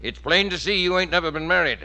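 An actor playing a gruff, slangy, sarcastic American park policeman in an old radio comedy. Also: sits high, quick pace, sharp tone, heavily acted. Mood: weary sarcasm. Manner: sardonic, slangy. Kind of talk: 1940s radio sitcom acting. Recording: muffled, hissy old radio recording.

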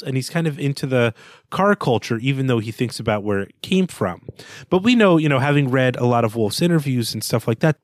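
A man's voice: medium pitch, no room sound, clean studio sound. A man co-hosting a book podcast, giving a thoughtful, analytical reading of a novel. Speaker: podcast co-host. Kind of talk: literary analysis in casual podcast talk.

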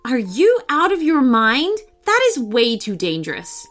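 An anxious, protective nanny from a storybook, as a woman reads her, firm and worried. Her voice is fairly high and expressive, with faint music behind. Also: normal speed, full character voice. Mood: scolding. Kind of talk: storybook reading.

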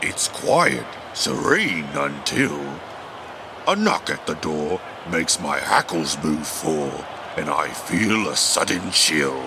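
A very low, gravelly male dog declaims like a self-important poet in an audio drama. He takes his time and swings grandly through his phrases, over a noisy background. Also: sing-song, takes it slowly, heavily acted. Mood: solemn reciting. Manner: grandiose, theatrical recitation.

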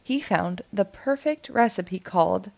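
A woman reading a children's picture book aloud in an animated storytelling style. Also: medium pitch, unhurried, gravelly.

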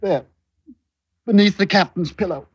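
A man's voice: sits high, unhurried, husky, full character voice. An actor playing an earnest, brooding sixteen-year-old ship's boy with careful wording in a 1950s radio drama. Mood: anguished. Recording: dull, hissy old radio recording.

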